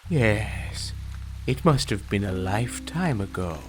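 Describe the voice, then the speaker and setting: An actor playing a mysterious hypnotic villain in an audio drama, speaking deliberately and commanding obedience. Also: male voice, on the low side, animated, faint music behind. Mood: controlled, menacing.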